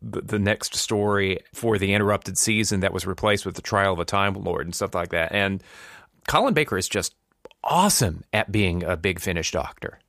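A man's voice: deep voice, normal speed, gravelly, a bit bright, dry recording, clean studio sound. A man hosting a podcast, talking in a casual, reflective and conversational way. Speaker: podcast host.

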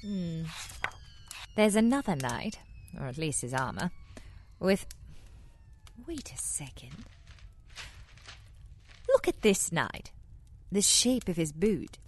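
A woman's voice: fairly low pitch, very animated, takes it slowly, pauses often, full character voice. An actress playing a poised, coolly clever female spy in a radio theatre drama, with an arch, inquisitive manner. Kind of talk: scripted radio theatre acting.

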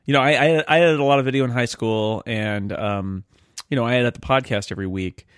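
A man chatting casually as a podcast host in a group discussion, talkative and quick to laugh. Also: mid-range voice, smooth, no echo, studio quality.

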